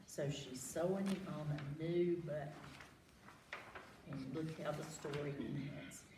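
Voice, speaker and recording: A woman reading a picture book aloud to children, expressive, pausing to ask questions and exclaim. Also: low voice. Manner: animated, asks listeners questions, exclaims. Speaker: library storytime reader. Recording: roomy, distant mic, faint background noise.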